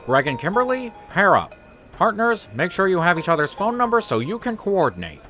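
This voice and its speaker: Hugely animated, bright-voiced science teacher. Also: male voice, high voice, a little fast, faint music behind, full character voice. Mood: brisk. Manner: explanatory, emphatic. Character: brisk, enthusiastic male science teacher lecturing a class. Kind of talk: classroom lecture in a comic dub.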